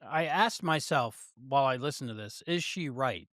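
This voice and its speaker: A man's voice: mid-range voice, lively intonation, moderate pace, fairly bright tone, no room sound, studio quality. A man co-hosting a podcast, talking casually as he discusses and reviews a show. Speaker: podcast co-host.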